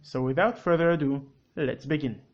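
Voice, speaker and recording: A man's voice: on the high side, normal speed, highs cut off. A man whose first language is Hebrew, hosting a commentary video with curt, corrective, matter-of-fact scripted narration. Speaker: commentary host.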